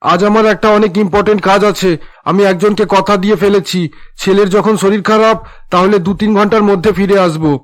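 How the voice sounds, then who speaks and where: Man acting a high-voiced, curt cartoon husband. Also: fairly even intonation, moderate pace, close to an everyday voice. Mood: dismissive. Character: stern, neglectful young husband bringing home another woman. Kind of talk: Bengali cartoon drama dubbing.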